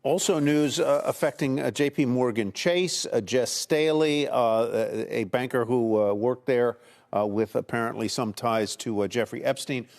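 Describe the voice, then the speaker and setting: A man working as a TV news anchor, putting questions to a reporter in halting, wordy phrasing. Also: medium pitch, a little mellow. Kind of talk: live business news interviewing.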